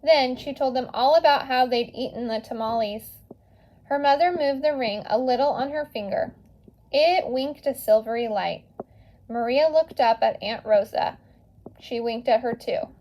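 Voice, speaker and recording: A woman with a fairly high voice reads a storybook aloud for children. Her delivery is expressive and animated, with dramatic pauses for emphasis. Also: slight background noise, poor audio. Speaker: teacher reading a storybook aloud. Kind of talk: animated children's read-aloud with casual asides.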